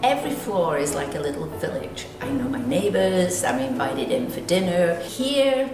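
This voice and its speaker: A woman resident making warm, declarative statements about her community. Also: on the low side, very animated, moderate pace, echoey room, over music, rough recording.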